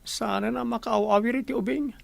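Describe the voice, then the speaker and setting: A woman's voice: a little high, fairly even intonation, brisk, vocal fry, darkish tone, no echo. A woman playing a burdened, earnest younger sibling in a radio drama, speaking plaintively.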